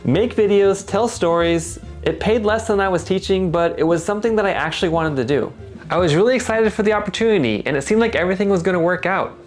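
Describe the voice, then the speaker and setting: A man who makes videos for a company's English channel, narrating his vlog in a reflective, earnest voice. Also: high-pitched. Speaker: company YouTube creator. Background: guitar music.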